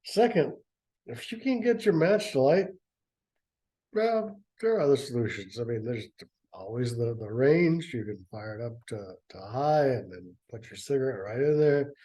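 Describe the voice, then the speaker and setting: A man reads a story aloud and keeps stopping to mock it with dry, sarcastic asides. His voice is mellow and dark in tone, at a middling pitch. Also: expressive, normal speed, low-quality recording. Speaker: reader and commentator. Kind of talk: dramatic reading with sarcastic commentary.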